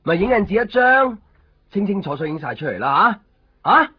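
A man's voice: high-pitched, sing-song, moderate pace, husky, no echo, exaggerated voice. A man with a Hong Kong Cantonese accent playing a weary, short-tempered photocopy shop keeper in a radio drama. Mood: irritated, scolding. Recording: muffled 1990s radio drama, music behind. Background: music.

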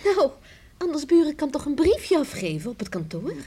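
An actress in a radio play playing a hopeful young farmer's daughter, eager and earnest in manner. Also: female voice, high-pitched, sing-song, normal speed, dark tone. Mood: hopeful, coaxing. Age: young adult. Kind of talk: Dutch radio play acting. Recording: noisy old radio play recording.

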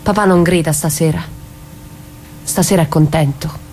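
A woman's voice: low voice, steady tone, moderate pace, darkish tone, lightly acted. Tender young woman, played by an actress, noisy recording. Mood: tender, soothing. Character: Lea, dreamy, tender young southern Italian woman, sister and mother. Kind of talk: dramatic reading of a radio play.

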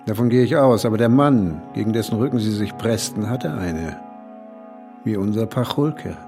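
A man playing a cryptic, formal older village man in an audio drama, speaking in a measured way with a husky voice. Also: fairly low pitch, music in the background, close to an everyday voice. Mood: cryptic, calm. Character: cryptic, formal old village man hinting at dark secrets.

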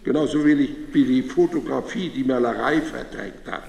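A man playing an earnest radio author giving a formal, measured speech in a reverberant hall. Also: medium pitch, creaky, darkish tone, close to an everyday voice.

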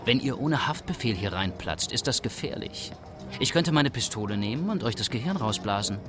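An actor playing a brash, irritable, aggressive young hoodlum in a crime radio play. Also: male voice, mid-range voice, big pitch swings, normal speed, over music, lightly acted. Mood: irritated. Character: cocky, irritable young hoodlum with a threatening temper. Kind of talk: hard-boiled radio crime drama acting.

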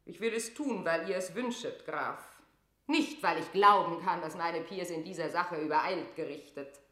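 Imperious queen, bright forceful low voice. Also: moderate pace. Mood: regal, commanding. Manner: declamatory, regal, swinging from whisper to outburst. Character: imperious Queen of England, haunted, scheming and wrathful. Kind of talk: classical German stage verse in radio drama. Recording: roomy studio radio drama echo.

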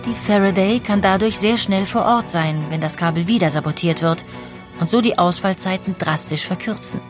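A woman's voice: mid-range voice, moderate pace, music in the background. A woman narrating a historical audio documentary from a script in a factual, articulate manner.

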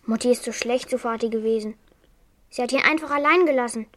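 High-voiced, guarded young girl played by an actress. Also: quick pace, fairly bright tone, close to an everyday voice. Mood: bitter, hurt. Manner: terse, guarded answers. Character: withdrawn, bitter young girl, loyal to father. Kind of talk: German crime radio play acting.

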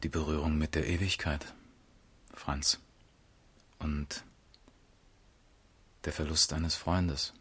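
An actor playing a grieving, absent-minded gentleman, grave and weary, with a husky voice, in a radio drama. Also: a bass voice, little pitch movement, takes it slowly, pauses often, exaggerated voice. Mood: grave, subdued. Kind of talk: literary radio drama acting.

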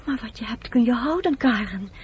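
A woman's voice: fairly high pitch, expressive, brisk, a little mellow, breathy edge. An actress playing an earnest woman who speaks with tender, pleading persuasion in a radio drama. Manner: pleading, affectionate, emphatic. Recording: noisy old radio play recording.

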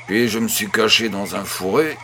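A man's voice: lowish voice, moderate pace, bright, forceful tone, a little hiss or hum. An actor playing an affectionate old farmer grandfather, patient and encouraging, in a French audio drama. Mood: storytelling.

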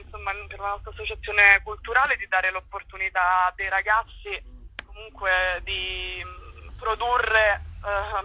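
Bright-voiced young woman. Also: medium pitch, low-quality recording. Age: young adult. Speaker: cultural association spokesperson, interview guest. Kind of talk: conversational radio interview.

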